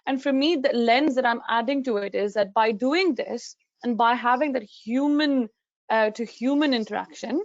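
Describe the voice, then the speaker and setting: A woman from Pakistan with a Pakistani accent, giving an expressive, conversational webinar talk straight to her audience over a muffled video call. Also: on the high side, no echo. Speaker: guest speaker, council digital officer. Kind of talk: live webinar presentation.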